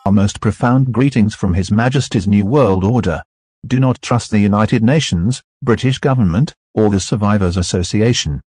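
A man's voice: on the low side, normal speed, a little mellow, husky, faint music behind. A man playing a menacing herald of a totalitarian regime, making a formal, pompous announcement in a web drama. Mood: cold menace. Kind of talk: scripted propaganda announcement.

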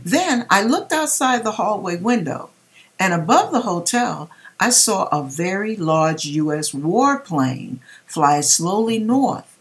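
Slow, expressive middle-aged woman. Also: medium pitch. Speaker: vlogger reading a viewer's dream and commenting. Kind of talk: expressive read-aloud with religious commentary. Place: home kitchen.

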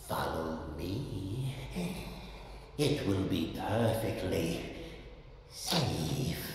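An actor playing a sinister old man in a video game, softly coaxing with fatherly menace in a breathy, theatrical near-whisper. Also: low voice, full character voice. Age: older. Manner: slow, unctuous, theatrical. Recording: roomy echo under loud ambient noise.

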